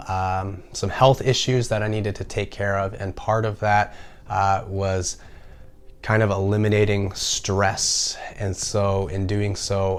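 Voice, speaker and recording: Low-voiced, slightly raspy man. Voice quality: slightly raspy from a recent cold. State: getting over a cold, slightly raspy voice. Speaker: personal finance video host. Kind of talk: casual informational vlog talk.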